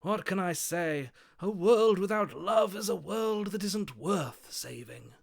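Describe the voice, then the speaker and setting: An actor playing a gallant, lovestruck romantic in a video game, florid, vain and grandly theatrical. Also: male voice, high-pitched, animated, slow, vocal fry, full character voice. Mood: impassioned, defiant. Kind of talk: video game character acting.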